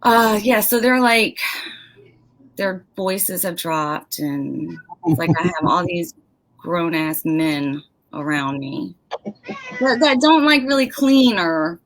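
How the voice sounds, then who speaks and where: Slow-talking casual American woman. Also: on the low side, expressive, rough recording. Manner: unhurried, casual, laughs easily. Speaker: guest. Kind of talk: casual interview.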